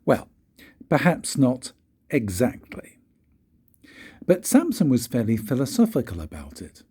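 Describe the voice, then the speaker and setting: Husky older man reading a storybook, very animated. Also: mid-range voice, measured pace. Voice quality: husky, breathy. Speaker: grandfather reading to his grandchildren. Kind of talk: storybook read-aloud narration.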